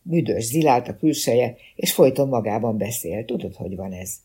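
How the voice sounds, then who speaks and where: A stoic small-town police chief , as a male narrator reads him, speaks bluntly in a dark, husky voice. He is unruffled and authoritative, a detective audiobook figure. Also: mid-range voice, animated. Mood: matter-of-fact.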